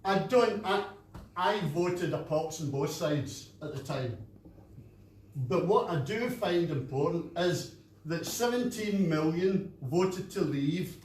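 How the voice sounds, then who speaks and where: A man's voice: fairly high pitch, darkish tone. A man with a Scottish accent, making a chatty, anecdotal comment from the floor of a meeting. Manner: chatty, anecdotal, laughs at himself. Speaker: audience member. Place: meeting room at a conference fringe event. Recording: echoey room, distant, faint noise.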